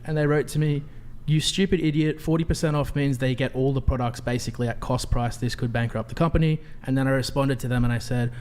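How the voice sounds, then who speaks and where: A man's voice: mid-range voice, steady tone, quick pace, hardly any pauses, darkish tone, clear, resonant tone. Jokey salesman guy in his thirties. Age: 30s. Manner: playful salesman, jokey read of an ad script. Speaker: panel guest pitching a brand.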